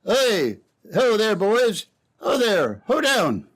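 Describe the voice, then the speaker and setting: Man playing high-pitched earnest young sled driver. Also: huge pitch swings, takes it slowly, fairly bright tone, heavily acted. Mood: firm, refusing. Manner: emphatic, plain-spoken. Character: young gold-sled driver, earnest, indignant, self-reproaching. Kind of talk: old-time radio drama acting.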